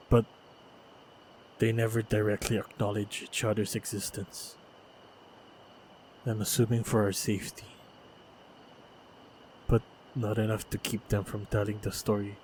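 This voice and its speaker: A man playing a calm, caring monster hunter in a fantasy audio roleplay, speaking in a measured, gentle way. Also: lowish voice, a little hiss or hum, natural voice, rough recording. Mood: reflective, wistful. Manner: measured, deliberate, gentle storytelling delivery.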